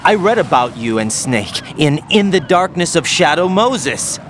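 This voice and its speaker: Young male game soldier: incredulous, insistent, swinging pitch, faint background noise. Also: sing-song, measured pace, a bit bright. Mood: agitated. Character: young male soldier, idealistic, questioning, agitated. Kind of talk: dramatic video game acting.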